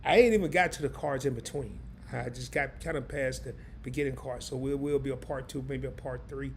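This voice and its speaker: A hoarse man hosting a live stream, chatting loosely and in slang straight to his viewers. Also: mid-range voice, a little fast, slight background noise. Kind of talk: casual live stream chat.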